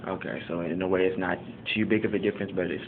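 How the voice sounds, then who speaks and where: A man interviewing a fellow student in a casual, halting way, restarting his questions and using many fillers. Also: low voice, steady tone. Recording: tinny phone-like line with faint background noise.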